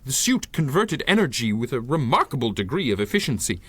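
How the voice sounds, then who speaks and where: An actor playing an earnest, eccentric old scientist in a radio drama, formal and grandiose, easily flustered into stammering. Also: male voice, medium pitch, sing-song, a little fast, husky, no echo. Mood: proud, earnest. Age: older. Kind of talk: 1930s-style radio drama acting.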